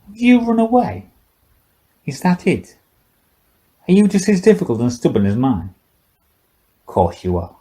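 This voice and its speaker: A man's voice: fairly high pitch, very animated, unhurried, pauses often, warm tone, voice pushed up. A man voicing a drunken, rambling old male scientist with slurred, sarcastic speech in an audiobook. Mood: needling. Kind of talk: audiobook character dialogue.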